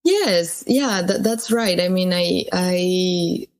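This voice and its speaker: A woman's voice: on the low side, animated, takes it slowly. A woman from Colombia, a cellist and singer, answering interview questions in a thoughtful way with many fillers and restarts. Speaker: musician guest.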